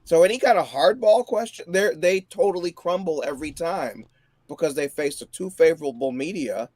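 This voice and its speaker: A man's voice: fairly high pitch, normal speed, darkish tone, dry recording. A man around forty chatting casually about politics as a show guest. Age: 40s.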